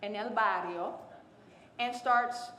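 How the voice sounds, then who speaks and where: A woman panelist telling a family story in an animated way, repeating words for emphasis. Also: mid-range voice, slow pace, reverberant, a little hiss or hum, poor audio.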